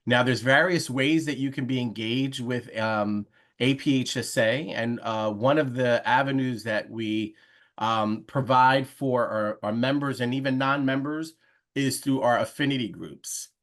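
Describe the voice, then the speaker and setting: A man's voice: medium pitch, a little slow, fairly bright tone. A middle-aged man hosting an informal webinar over a video call. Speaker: webinar host. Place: indoor room, on a video call.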